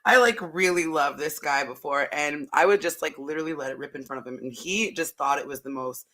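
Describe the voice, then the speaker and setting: A woman co-hosting a casual podcast chat, candid and animated, her voice a bit hoarse from having partly lost it. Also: deep voice, brisk. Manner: animated and candid, uses "like" a lot. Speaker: podcast co-host.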